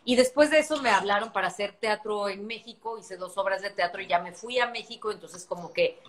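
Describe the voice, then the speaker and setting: A woman's voice: medium pitch, a little fast. A Mexican woman in her thirties speaks earnestly over a phone video call from a living room, stopping and restarting her sentences as she talks them through. Her hands move as she speaks. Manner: earnest, animated hand gestures, many restarts. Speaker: actress hosting an Instagram live. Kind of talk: casual video call chat.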